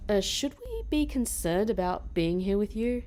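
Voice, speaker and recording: An actress in an audio drama playing a sensible woman hitchhiker with a chiding, quick-witted manner. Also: mid-range voice, sing-song, warm tone, a little hiss or hum, natural voice. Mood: concerned. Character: sensible, teasing female undercover hitchhiker.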